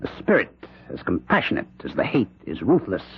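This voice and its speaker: Male possessed convict for radio thriller: husky, theatrical, highly animated, menacing, oratorical, dull vintage sound. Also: brisk, exaggerated voice. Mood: anguished intensity. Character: sinister prisoner possessed by Jack the Ripper's spirit. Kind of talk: 1970s radio drama acting. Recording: dull lo-fi old radio recording.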